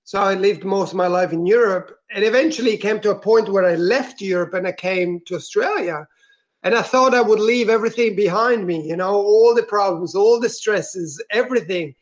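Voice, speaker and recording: A man from Switzerland speaks as an interview guest, his voice pitched high. He tells his personal story earnestly and reflectively, in a soft-spoken manner. The recording sounds dull and lo-fi. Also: moderate pace.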